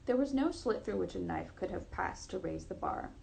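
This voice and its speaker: A woman's voice: mid-range voice, expressive, normal speed, creaky, a little mellow, a little hiss or hum. A woman narrating a classic story aloud, expressively and with the occasional stumble over words. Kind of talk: read-aloud literary narration.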